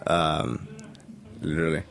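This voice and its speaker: A man's voice: rumbling low, steady tone, slow, creaky, a bit bright. A young man from Colombia with a Colombian Spanish accent, a bartender guest chatting hesitantly with many ums. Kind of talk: casual interview chat.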